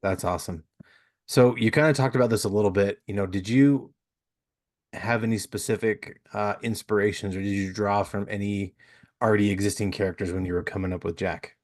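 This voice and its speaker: Male interviewer, low register, dry studio-like sound, relaxed conversational podcast hosting. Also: a little fast, no echo. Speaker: podcast host. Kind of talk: casual interview chat.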